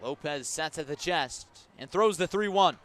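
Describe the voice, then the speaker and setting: A man doing live radio play-by-play of a baseball game, with a measured call that rises sharply on big plays. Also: fairly high pitch, expressive, fairly bright tone, slight background noise.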